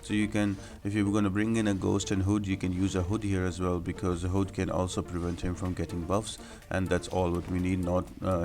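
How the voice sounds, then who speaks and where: Low-voiced man giving a game walkthrough. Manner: instructional, explanatory. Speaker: gaming guide host. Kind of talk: video game walkthrough commentary.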